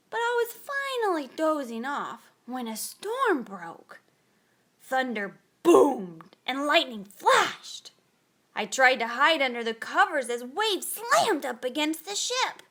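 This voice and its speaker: A woman reading a picture book aloud to children in a dramatic, expressive storytelling style. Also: sits high.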